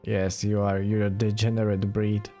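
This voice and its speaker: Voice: low voice, flat delivery, normal speed, warm tone, soft background music. A gaming streamer giving casual let's play commentary, muttering sarcastic asides.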